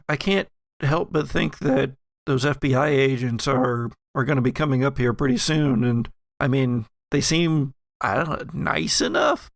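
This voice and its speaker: A man's voice: mid-range voice, dry recording, studio quality, natural voice. A man voicing an easygoing, practical adventurer in a tabletop game, speaking offhandedly.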